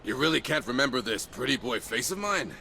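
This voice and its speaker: An actor playing a roguish, friendly ex-soldier bartender in a video game, talking with folksy, affectionate banter. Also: male voice, medium pitch, sing-song, brisk, vocal fry, sharp tone, full character voice. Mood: wry, reassuring.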